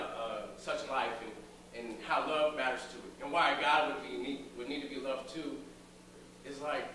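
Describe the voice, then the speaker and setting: A man's voice: on the high side, pushed and bright, pitched above the performer's own voice. A man performing a dramatic stage monologue as an earnest, lyrical lover haunted by a woman who vanished. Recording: echoey noisy cafe, far from mic.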